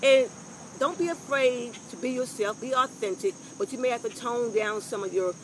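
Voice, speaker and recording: An older woman with a loud voice, giving frank, rambling workplace advice to a phone camera outdoors, with salty asides. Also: on the high side, normal speed, noisy background. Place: outdoors on a lawn by trees. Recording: faint insects and crickets outdoors.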